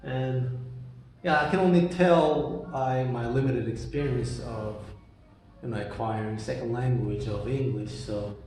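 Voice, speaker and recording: Young man whose first language is Korean, unhurried rambling monologue, distant mic in a reverberant room. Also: mid-range voice, fairly even intonation, a little mellow, soft background music. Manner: rambling, many restarts and fillers like "right?". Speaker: reader talking about books. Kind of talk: casual monologue. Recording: very echoey room, voice from far off.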